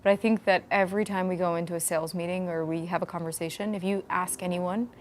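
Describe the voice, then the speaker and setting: Slightly low-voiced woman explaining informally. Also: steady tone, fast talker, slight background noise. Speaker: startup founder being interviewed. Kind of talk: conversational interview answers.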